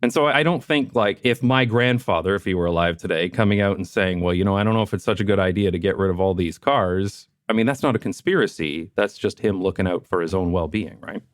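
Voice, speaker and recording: Guy hosting a podcast, kinda low voice, dry close sound, laid back, says right? a lot. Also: brisk, hardly any pauses, no room sound. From southwestern Ontario, Canada. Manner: easygoing, tag questions like "right?". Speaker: podcast host. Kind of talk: casual podcast conversation.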